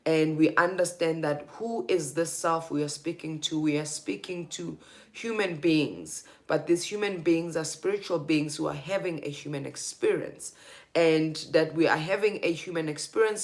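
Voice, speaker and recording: A woman with a South African accent and a low-pitched voice. She speaks as a spiritual teacher on an informal livestream. Her manner is earnest and deliberate, leaning on repetition to stress her points.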